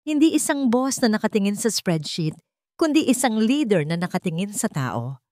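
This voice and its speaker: A woman's voice: mid-range voice, sing-song, moderate pace, a little mellow, no echo, clean studio sound, pitched above the performer's own voice, natural voice. Woman reading as a wise, reassuring old father. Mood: encouraging. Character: wise, encouraging elderly wealthy father.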